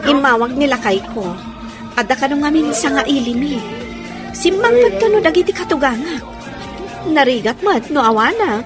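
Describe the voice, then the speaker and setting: A high-voiced woman friend in an Ilocano radio drama , played by an actress. Her pitch swings about in an animated way. Music plays under her lines. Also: normal speed, pauses often. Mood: chatty, lively.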